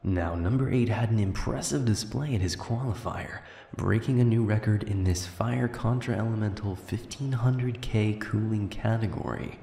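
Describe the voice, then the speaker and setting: A man playing a composed sports commentator in an audio drama, measured and announcerly, in an echoing space. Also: fairly low pitch, creaky, warm tone.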